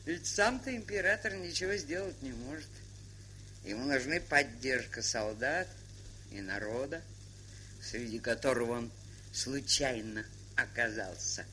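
A woman's voice: extremely low pitch, unhurried, pushed and bright, heavily acted, poor audio. An actress playing a shrewd, sardonic older woman camp trader, lecturing with worldly irony in a theatrical stage style. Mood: ironic. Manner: worldly-wise, ironic, lecturing. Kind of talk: theatrical stage drama acting. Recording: hissy 1970s studio drama recording.

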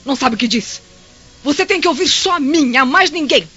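Uma atriz de radionovela interpretando uma mãe romana arrogante, venenosa e dominadora, com um jeito de falar cheio de desprezo. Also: female voice, sits high, expressive, fairly quick, pushed and bright. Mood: scornful, domineering. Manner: fussing, contemptuous, domineering. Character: haughty, venomous, overbearing Roman mother. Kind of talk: radio drama acting. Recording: dull lo-fi, music underneath.

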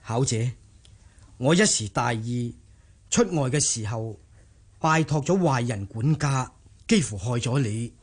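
Husky, remorseful gentleman. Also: medium pitch, lively intonation, measured pace, long pauses. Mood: apologetic. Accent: Cantonese. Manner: earnest, placating. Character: remorseful, earnest gentleman master of a wealthy household. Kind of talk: Cantonese radio drama acting.

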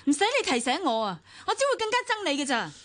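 An actress with a Hong Kong Cantonese accent playing a resentful, impulsive, easily hurt wife in a radio drama. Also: female voice, sits high, sing-song, quick pace, a bit bright. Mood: indignant.

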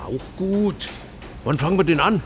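An eager, unflappable print-shop man from a wartime radio drama, acted by a man. His high voice swings widely as he chatters and repeats himself obligingly, over faint background noise. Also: lively intonation, normal speed, natural voice. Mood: obliging, matter-of-fact. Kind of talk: German radio play acting.

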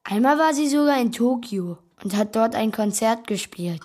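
Little sister in a kids radio play, high girly voice, keen, touchy. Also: animated, moderate pace, a little mellow. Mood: eager, chatty. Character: little sister, keen, easily offended young girl. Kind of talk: German children's radio play.

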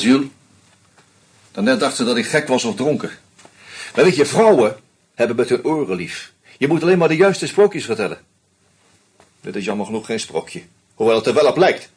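An actor in a radio play voicing a shrewd, sardonic male investigator who lays out his case deliberately. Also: medium pitch, slightly rough. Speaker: detective confronting a killer. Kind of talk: radio drama acting.

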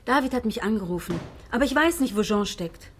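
An actress playing a brusque, impatient shop saleswoman in a radio play, with a husky voice. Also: on the high side, lively intonation, a little fast, a little hiss or hum, natural voice. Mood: brusque, irritated. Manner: blunt, impatient. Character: bored, brusque phone-shop saleswoman, gossipy and squeamish.

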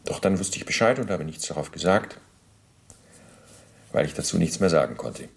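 An actor in a radio play voicing a middle-aged Nazi bureaucrat under interrogation, formal, halting and self-justifying, with deliberate pauses. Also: male voice, low voice, animated, creaky. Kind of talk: German radio play, testimony monologue.

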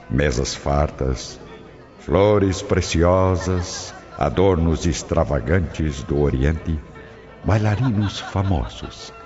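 A man with a Brazilian accent reading dramatic scripted narration for a radio drama. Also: rumbling low. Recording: dull lo-fi audio under loud music. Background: music.

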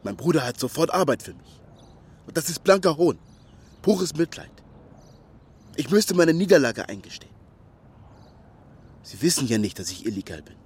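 A man's voice: fairly high pitch, big pitch swings, moderate pace, pauses often, a little mellow, breathy edge. An actor playing a proud, hunted young migrant man from Ghana in a radio drama, speaking in short clipped sentences. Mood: guarded, proud.

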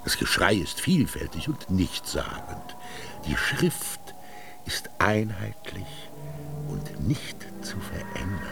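An actor playing an old Egyptian master scribe in a radio drama, solemn and pompous, declaiming with drawn-out words. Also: male voice, low-pitched, big pitch swings, vocal fry, music in the background, exaggerated voice. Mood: solemn, lofty. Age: older. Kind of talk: German radio drama monologue. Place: studio.

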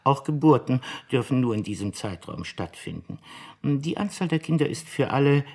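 An actor playing an overfriendly, smiling marriage counsellor in a radio drama, soft and husky, reeling off wordy routine patter. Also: male voice, medium pitch, big pitch swings, normal speed, exaggerated voice. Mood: unctuous patter.

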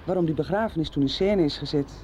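A woman's voice: sits low, fast talker, warm tone, natural voice. An actress as a formal, earnest young woman. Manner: earnest, formal, taking charge and making plans. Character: earnest young woman who was hidden as a child, now protective. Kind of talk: 1990s radio soap acting. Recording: dull lo-fi radio recording with faint street noise.

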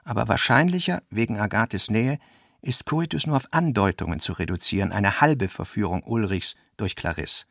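A man gives a literary reading of draft notes for a radio play, with deliberate, enunciated delivery. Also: fairly low pitch, creaky, fairly bright tone, dry recording, clean studio sound.

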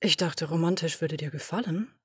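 A domineering woman boss with a husky, breathy voice, as a woman reads her fires off brisk orders and brushes aside objections. She sounds dry and close to the microphone, like a home audio drama recording. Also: sits low, fairly bright tone, no echo, full character voice. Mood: cool, commanding. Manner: commanding, brisk, dismissive. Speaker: podfic reader. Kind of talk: German audio drama reading.

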